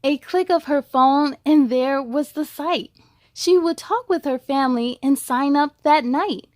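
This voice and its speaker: A woman's voice: high voice, a little slow, dry recording, clean studio sound. A woman hosting a children's read-aloud, enthusiastic and speaking straight to young listeners, with storybook reading and chatty asides.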